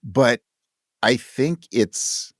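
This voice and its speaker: A man being interviewed about his writing, talking in a thoughtful, halting way and voicing his own thoughts as quotes. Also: mid-range voice, animated, no echo, clean studio sound. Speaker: interview guest, audio drama writer.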